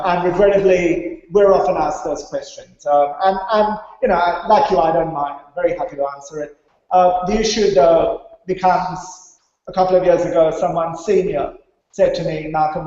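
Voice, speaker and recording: Conference speaker, male, from India, higher-pitched but mellow, didactic delivery, heavily reverberant hall recording. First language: English. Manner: didactic, stresses key points with check-in tags. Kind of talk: conference presentation. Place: large reverberant conference room. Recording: very echoey hall, dull muffled sound.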